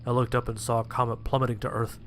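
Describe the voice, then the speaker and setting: A man's voice: mid-range voice, little pitch movement, quick pace, a bit bright, slight background noise, natural voice. A man playing a sheltered, anxious young survivor, narrating his story in the first person in a plain-spoken way. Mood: narrating, tense. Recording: music behind the voice.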